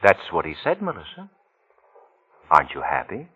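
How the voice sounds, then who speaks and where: An actor playing a suspicious, bitterly wry husband in 1940s radio mystery style, speaking in a dry, pointed way. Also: male voice, low voice, huge pitch swings, normal speed, husky, highs cut off, full character voice. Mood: wry, needling. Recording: very noisy vintage 1940s radio recording.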